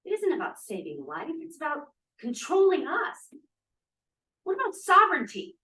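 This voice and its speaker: A woman's voice: sits high, wildly swooping pitch, moderate pace, sharp tone, exaggerated voice. Woman acting a defiant young feminist, roomy recording. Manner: emphatic, argumentative, theatrical. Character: defiant twenty-two-year-old drama student, free-spirited feminist. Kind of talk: dramatic table read of a play. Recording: roomy, echoey, distant microphone.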